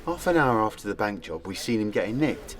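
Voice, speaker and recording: A man's voice: fairly low pitch, big pitch swings, a little fast. A man playing a sly, sceptical young pub regular with a dry manner in a comic radio play.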